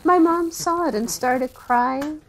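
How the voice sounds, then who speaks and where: A chatty small-town niece acted by a woman with a fairly high voice. She tells family stories in a folksy, rambling way for a documentary-style audio drama. There is faint noise behind her. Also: slow pace, poor audio. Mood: fond reminiscing. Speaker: interviewee. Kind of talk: acted documentary interview, conversational.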